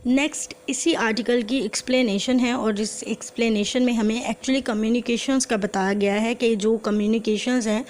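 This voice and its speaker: A woman's voice: on the high side, fairly even intonation, darkish tone. A woman with a Pakistani accent teaching in a methodical, explanatory lecture style, reading out and translating formal text. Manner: methodical, slow and deliberate when reading quoted text. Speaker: law lecturer.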